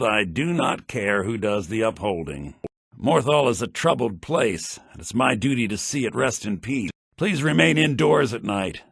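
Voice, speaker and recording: A male actor playing a brusque, self-assured townsman in a fantasy video game, with a husky voice and a theatrical, deliberate delivery. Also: medium pitch, fairly bright tone, dry recording, studio quality, full character voice. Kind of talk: fantasy role-playing game character dialogue.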